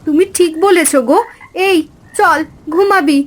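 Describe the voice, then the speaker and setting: A woman voicing a gentle, resigned and devout village wife and mother in a fairy-tale cartoon. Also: very high voice, measured pace. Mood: agreeable.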